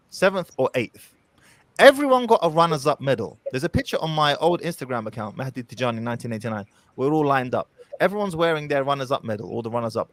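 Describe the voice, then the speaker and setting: A man in his thirties, a podcast guest, talking bluntly and forcefully. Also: fairly high pitch, no room sound. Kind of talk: motivational podcast talk.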